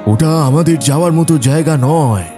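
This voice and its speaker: A man's voice: on the high side, moderate pace, music in the background, natural voice. An actor playing a firm, controlling man who speaks in an instructive way in an audio drama. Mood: admonishing. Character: controlling men quoted in a woman's recollection, admonishing. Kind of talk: dramatised audio story acting.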